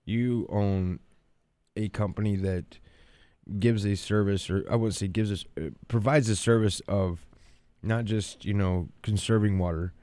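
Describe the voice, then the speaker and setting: Low, mellow-voiced radio host. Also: male voice, fairly even intonation, unhurried, no echo. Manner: easygoing, prompts and affirms, many "you know"s. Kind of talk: casual radio interview. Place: radio studio.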